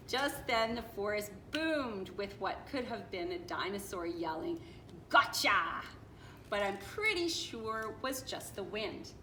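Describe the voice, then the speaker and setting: A woman reads a children's picture book aloud with playful asides and dramatic pauses. Her delivery is unhurried and highly animated, recorded in an echoey room. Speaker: storybook reader, teacher. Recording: roomy echo, clear background noise.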